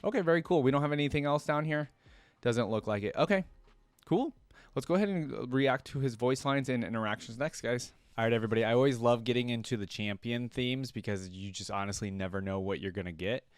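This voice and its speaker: A man's voice: mid-range voice, fast talker, clear, resonant tone, no room sound. A man giving casual, enthusiastic reaction commentary as a video creator, in an informal, exclamatory way. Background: orchestral music from the track being reviewed.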